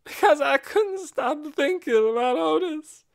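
A woman's voice: sits high, lively intonation, unhurried, vocal fry, pitched above the performer's own voice, heavily acted. A woman voicing a guilty, wounded teenage girl in an audiobook, plaintive and pleading, with folksy, uneducated grammar. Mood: remorseful.

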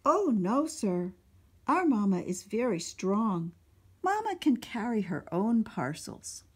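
A woman's voice: medium pitch, big pitch swings, slow pace, husky, full character voice. A woman voicing lost young children who earnestly insist on how wonderful their mother is.

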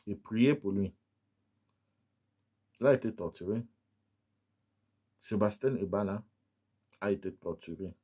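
Husky Cameroonian man ranting to camera. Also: fairly low pitch. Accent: Cameroonian Anglophone. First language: English. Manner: animated, rhetorical, repeats questions, scolding. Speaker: political vlogger. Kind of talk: impassioned commentary to camera. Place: small room indoors with colored lights.

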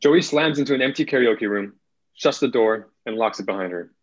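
A man's voice: medium pitch, fairly quick, muffled recording. A young man reading stage directions as scripted narration, recorded over a video call. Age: young adult. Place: indoor room at home, video call.

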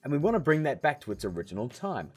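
A man hosting a software tutorial, explaining steps in a casual, upbeat way. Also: medium pitch, big pitch swings, fast, breathy edge, faint music behind. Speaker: video tutorial host.

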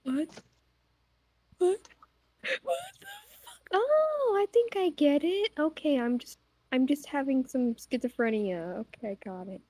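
Woman as a small cartoon jester, high voice, close dry sound, panicky, stammering, incredulous, sweary. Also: lively intonation, measured pace, long pauses, a little mellow, no echo, low-quality recording. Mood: distressed confusion. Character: panicked, bewildered small female jester, foul-mouthed newcomer. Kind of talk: amateur cartoon fan dub.

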